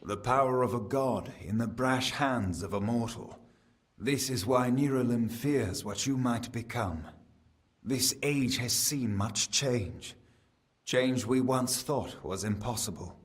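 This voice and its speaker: A man's voice: mid-range voice, takes it slowly, natural voice. An actor playing a solemn, ancient fae king in a fantasy game, speaking in a formal, portentous manner. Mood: grave counsel. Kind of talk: scripted fantasy game acting.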